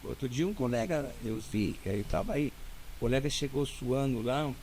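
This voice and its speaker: A Brazilian lawyer talks as a guest in a casual interview. He walks through points one by one, keeps checking in with his listener, and has an ordinary middle-pitched voice. A faint ambient hum sits in the background. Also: normal speed, a little mellow, poor audio. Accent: Brazilian Portuguese. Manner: didactic, explains step by step with many "né?".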